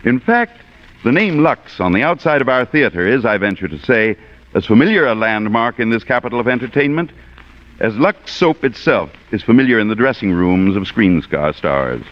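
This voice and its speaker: An American man hosting a radio theater, giving a scripted introduction in a formal, measured 1940s broadcast manner. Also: medium pitch. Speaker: guest producer and host of a radio theater. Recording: muffled, noisy vintage radio broadcast.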